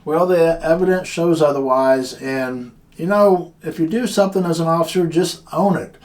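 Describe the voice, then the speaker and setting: A man's voice: a little high, normal speed, a little mellow, low-quality recording. A man who runs a security company, telling a story from work and giving guards earnest, plain-spoken warnings. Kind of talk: casual instructional talk.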